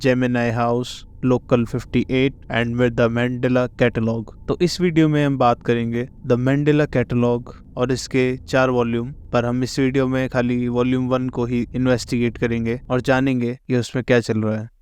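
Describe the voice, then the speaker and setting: A man's voice: medium pitch, little pitch movement, measured pace, hardly any pauses, a little mellow, clear, resonant tone. A man with an Indian accent narrating an explainer on a horror series, mixing Hindi with English phrases. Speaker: host and narrator.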